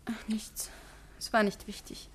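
A woman's voice: measured pace, lightly acted. An actress playing a frightened young woman who stammers and hesitates, in a 1950s radio drama. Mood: nervous. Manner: hesitant, stammering, breathy whispers. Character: nervous frightened young woman hiding something.

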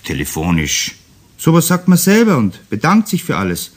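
Stern, husky-voiced father. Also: medium pitch, wildly swooping pitch, moderate pace. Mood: lecturing. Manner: firm, admonishing. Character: stern, impatient father lecturing his young son. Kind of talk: radio play acting for children.

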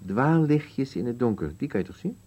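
A man's voice: medium pitch, highs cut off. A man playing a bleak, stubborn old husband in an absurdist radio play, answering tersely and with resignation. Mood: testy, teasing. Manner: terse, flat denials, slow resigned answers.

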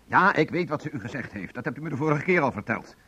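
A man's voice: mid-range voice, lively intonation, fast talker, bright, forceful tone, breathy edge, exaggerated voice. An actor playing an aggrieved, bookish man in a divorce, insistent and agitated, in a Dutch radio play. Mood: indignant, urgent.

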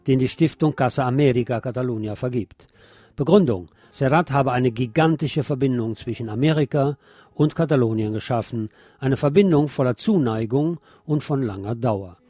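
A man's voice: medium pitch, dark tone, no room sound. A man presenting scripted news and commentary on the radio, reading as a presenter.

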